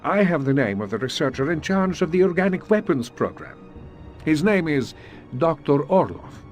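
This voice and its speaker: A man's voice: on the high side, very animated, normal speed, soft background music. A man playing a guarded, wry Soviet agent in a video game, speaking with a Russian accent. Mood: guarded, measured.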